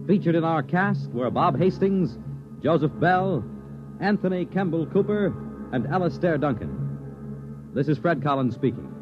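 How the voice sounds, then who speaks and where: An American man announcing and narrating in a formal, dramatic, measured 1950s radio style. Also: fairly high pitch, very animated. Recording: dull old radio broadcast, music behind.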